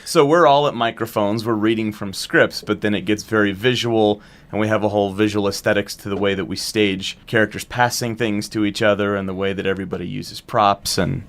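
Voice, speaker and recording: A man's voice: fairly low pitch, fairly even intonation, fairly quick, hardly any pauses, a bit bright. A man chatting casually and animatedly as a guest in an interview. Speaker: show creator, director and actor, interview guest.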